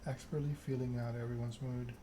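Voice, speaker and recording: Male ASMR narrator reading second-person fiction. Measured, unhurried, narrow pitch range, with clear background noise. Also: little pitch movement. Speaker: video game streamer reading game text aloud.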